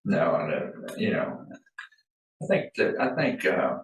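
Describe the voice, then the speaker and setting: A man's voice: deep voice, sing-song, measured pace. A man in his sixties, a former town administrator, talking casually on a panel with many fillers and restarts. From Arlington, Virginia. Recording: echoey room from far off.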